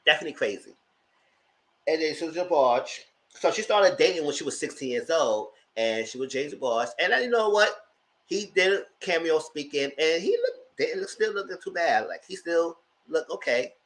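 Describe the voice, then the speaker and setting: Man, high light voice, casual live-stream host, animated, bright tone. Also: normal speed, poor audio. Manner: chatty, laughs often, greets viewers. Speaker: host reviewing a documentary live.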